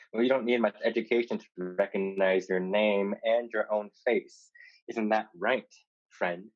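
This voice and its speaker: Wry pirate suitor played by an actor, unhurried, halting, calculating; slightly muffled recording. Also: mid-range voice, fairly even intonation, lightly acted. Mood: menacing, amused. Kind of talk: staged play reading. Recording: dull lo-fi sound.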